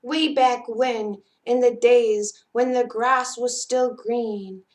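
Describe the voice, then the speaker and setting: A woman reads a children's storybook aloud as a storyteller. Her voice sits a little high and her pace is very unhurried. She is expressive, shaping each phrase for young listeners. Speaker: library storyteller reading aloud. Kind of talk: children's picture book read-aloud.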